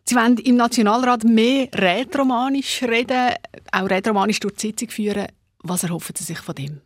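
A woman's voice: a little high, fairly quick, no room sound, clean studio sound. A woman with a Swiss German accent hosting a radio interview. She asks probing, pointed questions.

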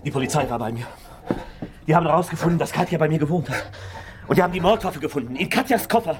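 An actor playing an insecure, lovestruck detective, stammering and full of self-reproach, in a German radio crime drama. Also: male voice, fairly high pitch, animated, fast talker, background noise, close to an everyday voice. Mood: agitated, accusing. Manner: flustered, stammering.

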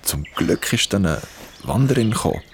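Deep-voiced young Swiss hiker. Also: male voice, big pitch swings, moderate pace, a little hiss or hum. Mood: wry recounting. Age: young adult. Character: stranded young injured hiker, uneasy and wary. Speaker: narrator and lead character. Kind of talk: first-person radio play narration and dialogue.

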